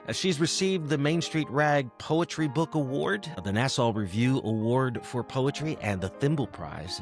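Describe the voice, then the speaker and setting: A man hosting a podcast in a casual, chatty, rambling and lightly self-deprecating way. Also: on the low side. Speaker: podcast host. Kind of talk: casual, chatty interview talk.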